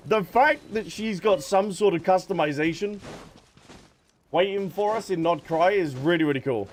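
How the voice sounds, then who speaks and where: Higher-pitched man chatting on a game livestream. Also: lively intonation, unhurried, long pauses, a little hiss or hum. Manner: chatty, addresses viewers by name. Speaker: live streamer. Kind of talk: casual game livestream chat. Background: game music.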